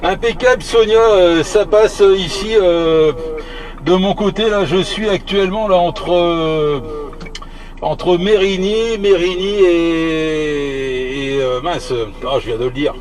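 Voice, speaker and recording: A man's voice: high voice, fairly even intonation, takes it slowly, darkish tone. A man chatting casually on CB radio, hesitant and often repeating his phrases. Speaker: CB radio operator, channel regular. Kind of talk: casual French CB radio chat. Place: in or beside a vehicle, outdoor countryside. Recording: noisy roadside recording with vehicle hum.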